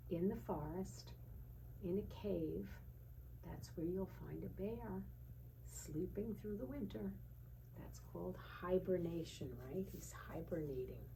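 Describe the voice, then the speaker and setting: Slow, mellow woman reading to children. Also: lowish voice, vocal fry. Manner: gentle teacherly, often asking tag questions. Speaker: children's storytime teacher and reader. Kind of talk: storytime read-aloud with questions to young children. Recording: distant mic with faint background noise.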